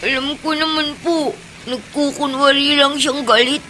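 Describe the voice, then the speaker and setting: A woman's voice: sits high, fairly even intonation, unhurried, noisy background. A woman playing a polite, trusting young child in a Filipino radio drama, plaintive and loving. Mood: earnest, plaintive.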